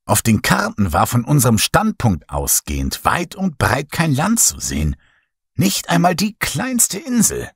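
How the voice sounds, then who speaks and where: A man narrating a first-person horror story as an audiobook, in a slow, hushed, dramatic way. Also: medium pitch, wildly swooping pitch, slightly rough, no echo, studio quality.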